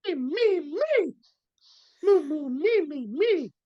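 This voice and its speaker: A performer voicing an eager, excitable little clerk creature in a live dramatic reading over a video call. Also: very high-pitched, slow pace, heavily acted. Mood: meeping. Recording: muffled video-call audio.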